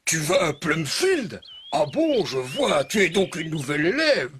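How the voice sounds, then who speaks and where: A woman's voice: low voice, big pitch swings, measured pace, a little hiss or hum. A woman voicing a kindly, solicitous local in a cartoon, speaking expressively as if to a child, over music. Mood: concerned, kindly. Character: kindly, fussing station local talking to a child. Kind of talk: French cartoon dub acting. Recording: music behind the voice.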